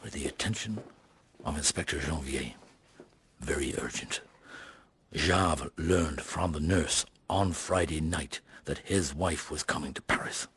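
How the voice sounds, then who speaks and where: An actor in a radio drama playing a shrewd, avuncular middle-aged detective inspector who speaks in a courteous, ruminative, hesitant way. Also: male voice, extremely low pitch, unhurried, a bit bright, a little hiss or hum, full character voice. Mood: quietly urgent.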